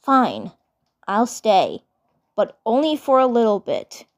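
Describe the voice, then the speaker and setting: A woman voicing a guarded, proud male alien invader from a cartoon, gruff and reluctant in a mumble. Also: a little high, wildly swooping pitch, takes it slowly, full character voice. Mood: grudging.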